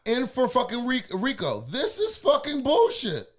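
A man's voice: very high voice, measured pace, crowd noise. A man co-hosting a podcast, chatting casually, swearing a lot and laughing often. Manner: animated, profane, laughs often. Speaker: podcast co-host. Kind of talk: casual chat.

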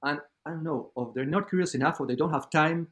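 A man from Argentina, a guest DJ talking in an animated, filler-heavy way in a casual interview. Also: a little high, fast. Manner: animated storyteller, many fillers like "like".

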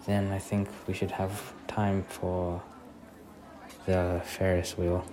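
A man playing a gentle, hesitant young boyfriend in a soft-spoken ASMR roleplay, reassuring his date. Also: sits low, monotone, slow. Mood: relaxed, cheerful. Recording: crowd ambience and noise behind voice.

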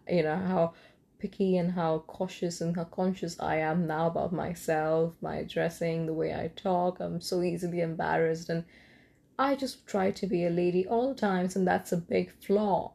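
A woman's voice: fairly low pitch, creaky, a little mellow. A young woman from Kolkata with a cold, talking in a loose late-night podcast monologue with many ums and restarts. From India, Kolkata. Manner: rambling with frequent ums and restarts, light laughs. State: has a cold. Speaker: podcast host.

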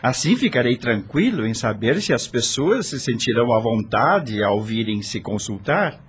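An actor playing a young doctor, overly courteous and insistent, with a husky voice in a radio soap. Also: male voice, mid-range voice, big pitch swings, normal speed. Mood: courtly, insistent.

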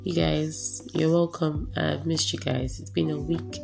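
Hoarse, raspy woman preaching. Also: low-pitched, flat delivery, a little slow, music in the background. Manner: earnest, encouraging, repeats herself for emphasis. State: hoarse from a cold. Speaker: radio preacher and host. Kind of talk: devotional teaching broadcast.

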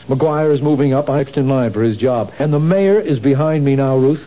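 Mellow, earnest husband, played by an actor, vintage radio play with a dull hissy sound. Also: male voice, mid-range voice, brisk, natural voice. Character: worried middle-aged husband, city official. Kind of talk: 1970s radio drama acting. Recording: dull old radio broadcast with hiss.

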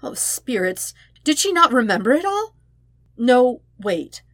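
A woman reading fan fiction aloud in an expressive audiobook style, with emphatic, dramatic phrasing. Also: a little high, unhurried, dry recording, studio quality. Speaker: podfic narrator.

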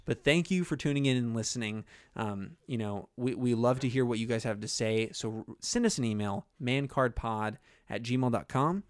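A young man co-hosting a podcast, animated and joking, often laughing. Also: medium pitch, no echo, studio quality. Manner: animated, laughing, quick jokey asides. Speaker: podcast co-host. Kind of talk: casual podcast chat.

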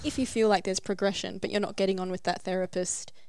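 A woman's voice: medium pitch, level tone, fast, darkish tone, clean tone, no echo, studio quality. A woman who works as a child therapist, chatting casually and often trailing off with ums. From Australia. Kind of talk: casual chat.

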